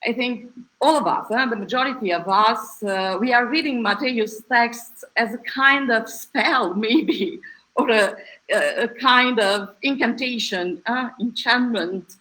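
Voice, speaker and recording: A woman with a Romanian accent speaks slowly in English, pausing often and restarting her sentences. Her tone is bright and sharp. She sounds like a native Romanian speaker taking her turn on a discussion panel. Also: on the high side, low-quality recording. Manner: hesitant, many fillers and restarts.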